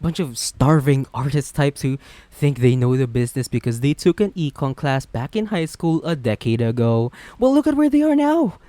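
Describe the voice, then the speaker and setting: A man's voice: medium pitch, very animated, moderate pace, dark tone, dry recording, lightly acted. A man playing a smug, flirty businessman supervillain, chatty and sardonic, in a romantic roleplay audio drama. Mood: exasperated ranting. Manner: chatty, sardonic, self-assured.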